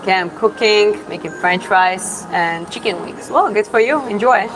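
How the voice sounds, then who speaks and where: A woman from Georgia, hosting a casual livestream Q&A and answering chat in an unhurried, thoughtful way with many fillers and restarts. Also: medium pitch, noisy background. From Georgia (country). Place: public spot in Da Nang with other people around.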